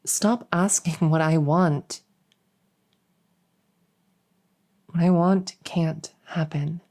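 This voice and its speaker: Woman doing a young princess in an audio roleplay, lowish mellow voice, kinda halting, tired of duty and wistful. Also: steady tone. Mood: frustrated. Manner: hesitant, halting, conversational. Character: young human princess, weary, dutiful, wistfully longing for freedom. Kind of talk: intimate audio roleplay acting.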